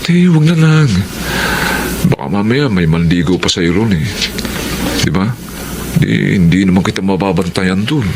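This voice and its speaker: A man's voice: low voice, lively intonation, unhurried, gravelly, pauses often, heavily acted. A man with a Filipino accent playing a protective, jealous young boyfriend in a TV drama. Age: young adult. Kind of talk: TV drama acting. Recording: noisy location sound.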